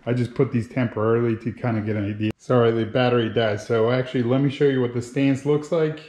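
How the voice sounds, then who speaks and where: A man's voice: mid-range voice, fairly even intonation, fast talker, a little mellow. Man vlogging in an echoey garage. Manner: informal, explains step by step. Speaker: motorcycle builder and video host. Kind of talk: casual how-to vlog narration while working. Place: home garage with hard floor. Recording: roomy, echoing garage sound.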